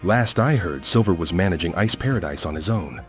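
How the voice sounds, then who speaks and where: A man acting a grim, guilt-ridden ninja chameleon in a comic dub, speaking in a solemn, measured way. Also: sits low, lively intonation, faint music behind. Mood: grave, tired.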